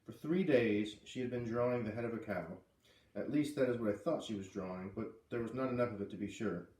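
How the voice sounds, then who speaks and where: A man reading a children's novel aloud as an expressive storyteller. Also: mid-range voice, a little fast. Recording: roomy echo, distant from mic.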